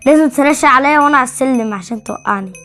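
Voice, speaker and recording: A woman's voice: high-pitched, very fast, bright, forceful tone, faint music behind. A female gamer with an Egyptian Arabic accent bantering casually as a co-host, playful and teasing. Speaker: gaming video co-host.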